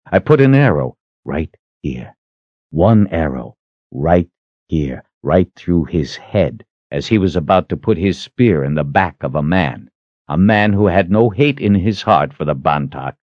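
Male reader as a contemptuous hero, low voice, swinging intonation, deliberate menace, fantasy audiobook, slightly dull sound. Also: animated, no room sound. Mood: defiant taunting. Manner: taunting, deliberate, intense. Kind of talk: dramatic audiobook character acting.

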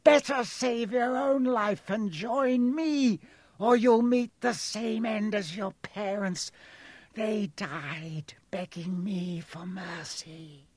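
Storybook villain: disembodied dark lord, high whispery hiss, cold menace, very slow. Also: male voice, dry recording. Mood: menacing, mocking. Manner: slow, drawn-out, menacing delivery.

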